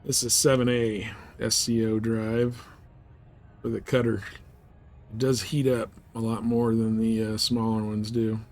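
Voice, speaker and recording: A man's voice: on the low side, level tone, unhurried, a little mellow. A man hosting a gaming livestream, chatting casually as he comments on his play. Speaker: livestream host.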